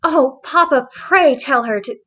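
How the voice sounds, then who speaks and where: A woman's voice: high-pitched, unhurried, a bit bright, dull audio. A woman voicing an eager young girl in a storybook reading, earnest and coaxing as she pleads. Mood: eager pleading.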